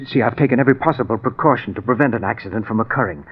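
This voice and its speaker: A man's voice: mid-range voice, rapid-fire, breathy edge. Radio drama role: haunted, agitated man, desperate to cheat death, emphatic delivery, dull vintage broadcast sound. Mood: guarded, tense. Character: frantic, haunted man obsessed with cheating his foretold death. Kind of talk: 1940s radio drama acting. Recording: muffled, band-limited old 1940s radio recording.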